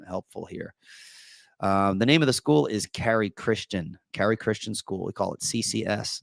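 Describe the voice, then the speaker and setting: Male host, low voice still rough from recent voice loss, chatty with fillers, dry close mic. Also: no echo. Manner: chatty, with many fillers and restarts. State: voice still deep after losing it. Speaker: apologetics host and teacher. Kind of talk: casual live-stream talk.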